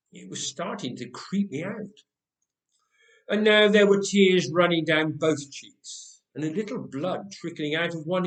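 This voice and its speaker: A reader narrating a comic first-person story with dry humour, recorded in an echoey room. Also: medium pitch.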